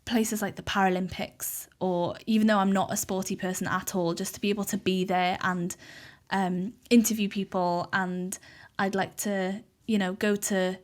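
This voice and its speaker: Welsh woman, 20s, native Welsh speaker; relaxed, talkative podcast guest. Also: mid-range voice, normal speed. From Cardiff, Wales. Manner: chatty, frequent ums. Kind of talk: casual interview chat.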